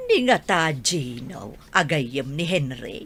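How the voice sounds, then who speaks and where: A woman's voice: low-pitched, very animated, takes it slowly, a bit bright, breathy edge, full character voice. A woman playing a tender, plaintive old grandmother who longs for her son in an audio drama. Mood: gentle, wistful. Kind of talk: Ilocano audio drama acting.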